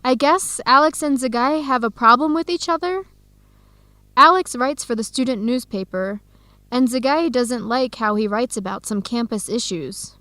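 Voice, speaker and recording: A woman's voice: a little high, moderate pace, pushed and bright, dry recording. An actress playing a troubled young woman confiding about her boyfriend in an English-learning radio drama. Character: young woman upset over a jealous boyfriend.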